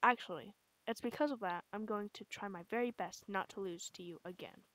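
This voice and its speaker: A woman voicing a cool, quietly sincere teenage boy in a comic dub, subdued and deadpan. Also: mid-range voice, fairly quick, dry recording, natural voice. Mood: solemn.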